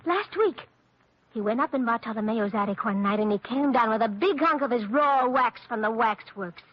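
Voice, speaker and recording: A woman's voice: on the high side, expressive, moderate pace. An actress playing a passionate, scornful young Italian-American woman spurned in love, in theatrical 1940s radio drama style. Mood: agitated, mocking. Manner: theatrical, emotional, repeats words for emphasis. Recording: dull old radio drama recording.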